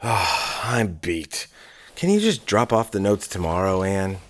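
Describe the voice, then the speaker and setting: A man's voice: deep voice, big pitch swings, takes it slowly, slight background noise, exaggerated voice. An actor playing a weary, sardonic male scriptwriter in an audio drama. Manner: dry, skeptical, grumbling.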